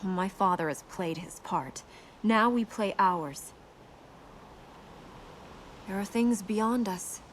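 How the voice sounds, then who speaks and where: A woman playing a dutiful, earnest young princess in a video game, composed and gently teasing in manner. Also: medium pitch, slow, long pauses, close to an everyday voice. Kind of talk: naturalistic video game dialogue. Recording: game ambience noise behind voice.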